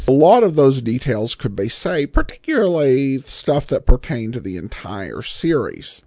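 A man hosting a podcast solo, giving casual commentary in a halting, reflective, self-deprecating way. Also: medium pitch, darkish tone, no room sound, clean studio sound. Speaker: podcast host.

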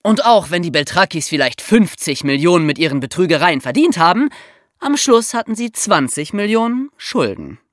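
A woman podcast co-host with a slightly low voice and very animated intonation. She explains things in everyday language, as if telling a story to young listeners. The sound is dry and close. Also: moderate pace, no room sound. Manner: explanatory, colloquial. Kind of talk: conversational true-crime storytelling for young listeners.

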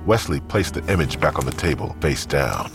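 A sober narrator of a crime drama. His voice is extremely deep, delivering scripted scene description over clearly audible music. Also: animated, moderate pace. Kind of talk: scripted third-person narration.

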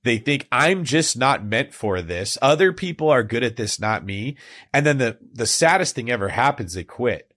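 A man's voice: mid-range voice, animated, moderate pace, fairly bright tone, no echo, studio quality. A man hosting an interview podcast, asking questions and commenting in a friendly, joking way. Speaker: podcast host.

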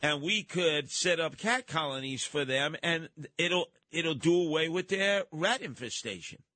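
A man's voice: a little high, lively intonation, a little slow, bright, forceful tone, no echo. A man with a New York accent hosting live call-in talk radio, talking in an emphatic, theatrical, rambling way. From New York City. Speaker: radio talk show host.

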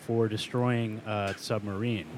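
A man co-hosting a comedy podcast as the dry skeptic, deadpan with many hesitations. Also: on the low side, a little slow, a little hiss or hum.

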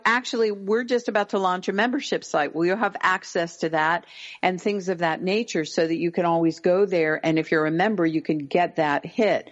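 Brief: middle-aged woman, low calm voice, deliberate advice, dull lo-fi sound. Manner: calm, deliberate, slows words for emphasis. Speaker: parenting expert guest. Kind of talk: advice-giving interview talk.

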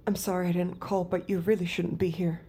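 A young woman with an American accent playing an earnest, secretive android in an audio drama, speaking in a hushed, halting and pleading way. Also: fairly low pitch, flat delivery, a little hiss or hum, lightly acted. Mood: guarded, uneasy. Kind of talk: naturalistic audio drama acting.

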